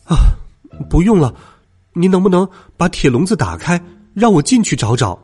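A man's voice: high-pitched, big pitch swings, normal speed, darkish tone, breathy edge, heavily acted. A man voicing an anxious young child in a storybook, pleading urgently and stumbling over words. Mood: forced calm. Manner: urgent, stammering, near tears.

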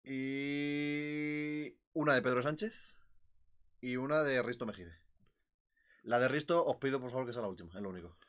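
A man from co-hosting a podcast, brash and crude with quick quips. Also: mid-range voice, a bit bright. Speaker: podcast co-host. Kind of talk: casual comedic chat.

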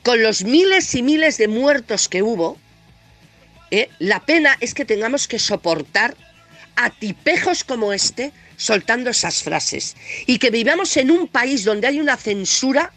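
A woman's voice: fairly high pitch, expressive, unhurried, a bit bright, no echo, faint music behind. A woman with a Castilian Spanish accent and a heavy cold delivers a scathing political opinion monologue as a presenter, blunt, sarcastic, emphatic and indignant. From Spain.

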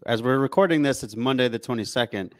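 A man's voice: medium pitch, quick pace, dry recording. A man co-hosting a podcast, chatting casually about sports in a slangy, mildly sweary way. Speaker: podcast co-host.

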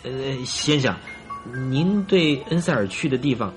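Guy doing shifty middle-aged crook in a radio play, stammery and cringing, music clearly playing behind him. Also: medium pitch, lively intonation, unhurried, lightly acted. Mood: flustered, evasive. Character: nervous evasive middle-aged swindler, guilty and cornered. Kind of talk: radio drama acting.

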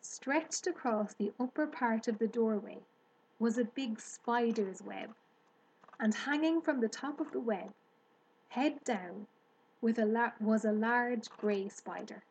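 A woman narrating a children's storybook aloud in a measured, scripted delivery. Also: on the high side, darkish tone, breathy edge, poor audio. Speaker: storybook reader.

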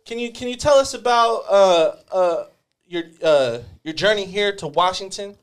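A man's voice: high voice, normal speed, smooth. A young man hosting a podcast in loose, profane casual chat, stumbling over restarts as he talks. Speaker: podcast host.

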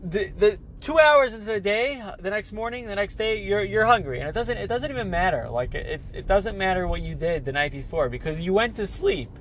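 A man's voice: high voice, animated, a little fast, few pauses, fairly bright tone, slight background noise. A man with a cold and a nasal, stuffed-up voice, chatting casually as a podcast host in a rambling way, stumbling and repeating words as he restarts sentences. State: has a cold, congested. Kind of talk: casual solo chat.

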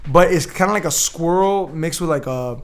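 A young man hosting a podcast, telling loud, profane, teasing stories among friends. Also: on the high side, normal speed. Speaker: podcast host. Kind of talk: rowdy casual chat among friends.

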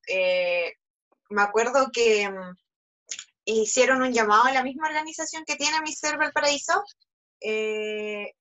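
A young woman with a Chilean accent, a pageant contestant telling her story in a lively, chatty way full of fillers. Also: high voice. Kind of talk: interview storytelling.

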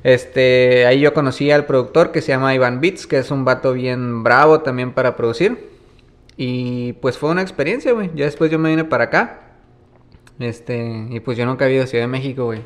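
A relaxed Mexican man in his thirties with a Baja California accent, talking as an interview guest. He uses a lot of street slang and tags almost every phrase with "güey". His voice sits at an ordinary middle pitch for a man. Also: fairly quick. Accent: northern Mexican, Baja California. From Ensenada, Baja California, Mexico. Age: 30s. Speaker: musician guest. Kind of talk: casual interview storytelling.

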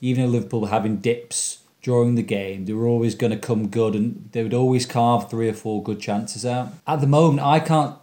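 A man's voice: medium pitch, brisk, few pauses, warm tone. A man on a fan podcast, talking through a football match in an earnest, analytical way. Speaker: podcast co-host. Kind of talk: casual fan podcast discussion.

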